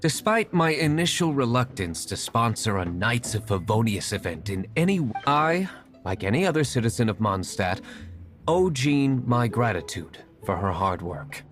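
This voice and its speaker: A man's voice: medium pitch, sing-song, normal speed, a bit bright, soft background music. A man voicing a reserved, wealthy young nobleman who speaks with dry, grudging formality. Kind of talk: video game voice acting.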